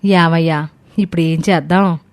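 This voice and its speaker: A woman's voice: deep voice, fairly even intonation, normal speed. Woman as a proud, stubborn older mother. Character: proud, calculating older mother, a farmer's wife. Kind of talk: dramatised family moral story.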